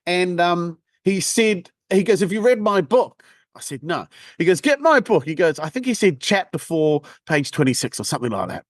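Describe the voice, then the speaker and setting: A man's voice: high-pitched, big pitch swings, moderate pace, no room sound, clean studio sound. Brash joking New Zealand man. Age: 40s. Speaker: guest, sales trainer. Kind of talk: casual interview chat.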